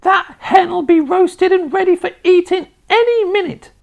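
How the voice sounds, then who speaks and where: A storyteller voicing a bossy, gloating old grandmother from a children's storybook, yelling shrilly with drawn-out words. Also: extremely high pitch, creaky, pitched above the performer's own voice, heavily acted. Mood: alarmed yelling. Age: older.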